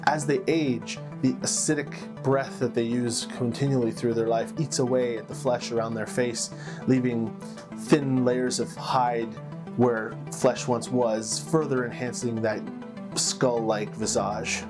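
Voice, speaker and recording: A man narrates fantasy lore as a game master and host. His voice is mid-pitched, with a measured storytelling delivery and dramatic pauses. Plucked guitar music plays clearly behind him. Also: flat delivery.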